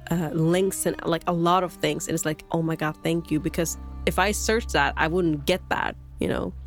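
A woman co-hosting a podcast, speaking English as a second language in halting, hesitant phrasing with lots of "like" and restarts. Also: deep voice, fairly even intonation, soft background music. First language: not English. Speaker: podcast co-host. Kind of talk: casual podcast chat. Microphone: podcast microphone.

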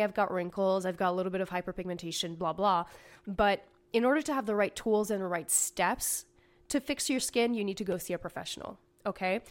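A woman hosting her own skincare podcast, her voice slightly raspy from a lingering cold. She explains things in a chatty, casual way, stressing points and checking in with "okay?". Her pitch sits in a typical middle range. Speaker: podcast host and esthetician. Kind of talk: casual educational podcast talk.

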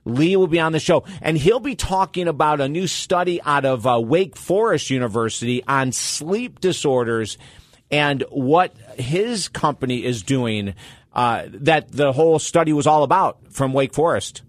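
A man's voice: on the high side, expressive, a little slow, dry recording. A man hosting a radio talk show, talking with upbeat, enthusiastic promo patter.

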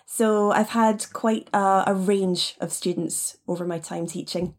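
A woman from the Highlands, an English teacher answering interview questions conversationally. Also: fairly even intonation, a little slow. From Scottish Highlands, Scotland. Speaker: podcast guest, English teacher.

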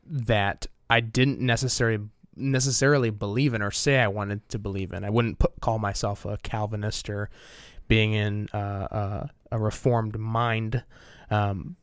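A man's voice: lowish voice, fairly even intonation, a little slow, clear, resonant tone, no echo, clean studio sound. A man co-hosting a podcast in casual conversation, speaking hesitantly with frequent fillers. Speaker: podcast co-host. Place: small home studio.

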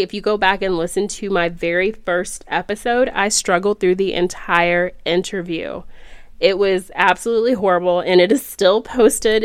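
A woman's voice: lowish voice, clear, resonant tone, no echo, studio quality. A woman hosting her own podcast, slightly nasal and congested after being sick, speaking in a candid, reflective and encouraging way. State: congested, recovering from illness. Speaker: podcast host. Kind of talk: solo podcast monologue. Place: closet or living room at home.

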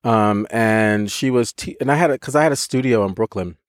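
A man co-hosting a podcast, telling a story in a lively, casual way, with frequent laughs, restarts and casual swearing. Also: fairly low pitch, a little fast, dry recording, clean studio sound. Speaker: podcast co-host, voice teacher.

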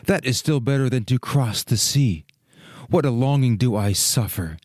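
A man's voice: mid-range voice, animated, moderate pace, a little mellow, dry recording, studio quality, lightly acted. A man playing a young fir tree in a storybook, restless and yearning, speaking in earnest exclamations and questions. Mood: impatient longing. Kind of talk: dramatic storybook reading.

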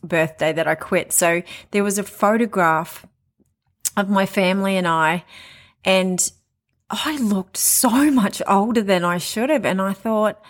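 A woman in her late forties speaks candidly into a podcast mic, her voice in the middle of the usual range. She talks in a loose, conversational flow, pausing and restarting as she goes. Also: mid-range voice. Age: 40s. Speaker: podcast host. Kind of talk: personal monologue.

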